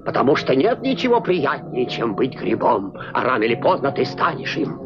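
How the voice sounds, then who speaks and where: An actor playing a jovial, self-satisfied old mushroom ruler in a dubbed cartoon. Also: male voice, medium pitch, very animated, close to an everyday voice. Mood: smug, genial. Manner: chuckling, unhurried, self-satisfied. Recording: muffled old film soundtrack with music.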